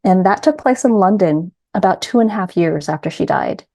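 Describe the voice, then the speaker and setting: A middle-aged woman with a New York accent, a grief coach guest telling her story in an earnest, measured way. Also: mid-range voice, animated, warm tone. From New York City. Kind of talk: reflective personal storytelling.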